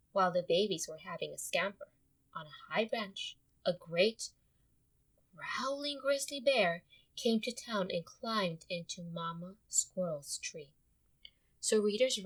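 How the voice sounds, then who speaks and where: A woman with a bright, forceful voice and medium pitch leads a children's read-aloud. She explains like a teacher and speaks straight to her young listeners. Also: low-quality recording. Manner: instructive, addresses the listeners directly. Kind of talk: interactive storybook read-aloud and lesson.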